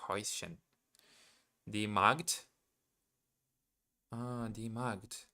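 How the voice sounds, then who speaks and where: A man who grew up speaking Portuguese talks slowly to himself as he works through new words, stopping often to correct himself. His voice is clear and smooth. It sits a little low in pitch but has a bright, forward tone. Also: fairly even intonation. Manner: hesitant, self-correcting, muttering to himself. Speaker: language learner streaming live. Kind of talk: thinking aloud while studying.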